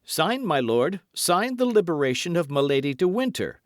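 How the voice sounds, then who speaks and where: A man's voice: mid-range voice, sing-song, measured pace, no echo, studio quality, pitched above the performer's own voice. A man voicing a fervent young fanatic in an audiobook, pleading and threatening in an impassioned, insistent way. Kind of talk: dramatic audiobook character acting.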